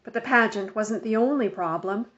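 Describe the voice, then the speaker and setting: A woman narrating a children's book aloud in an expressive, scripted storytelling style. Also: on the high side, moderate pace. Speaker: storybook reader. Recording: dull lo-fi home recording.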